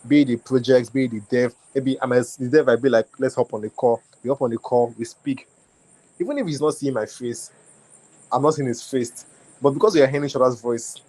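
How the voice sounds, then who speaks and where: A young man with a Nigerian accent speaks casually on a group call, at an ordinary middle pitch. He talks in loose, looping phrases, keeps restarting his sentences and reaches often for "like" and "you know". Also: darkish tone, rough recording. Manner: repetitive, restarts phrases, many fillers like "like".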